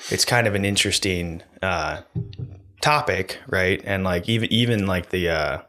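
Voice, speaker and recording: Podcast co-host: low-voiced young man, steady monotone, soothing casual talk, close mic. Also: normal speed. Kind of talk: casual chat. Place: small room indoors. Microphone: studio mic on stand, close.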